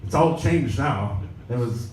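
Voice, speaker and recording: A man gives a live reading of an interview in a casual, wry way, at a middling pitch. The sound is strongly echoey and a little distant, with faint noise behind him. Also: animated, unhurried, a little mellow. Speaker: editor giving a reading. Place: indoor live pop-up event at a venue. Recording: echoey, noisy room, distant mic.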